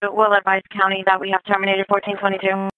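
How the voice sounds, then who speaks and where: A woman's voice: medium pitch, completely flat delivery, fast. A woman working as a police radio dispatcher, repeating reports in clipped, procedural phrases over a scanner radio. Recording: noisy police scanner radio audio with clicks.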